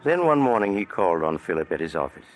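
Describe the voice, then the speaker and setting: A man giving measured, ominous scripted narration for a 1940s radio drama. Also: low voice, sing-song. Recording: old radio broadcast with music.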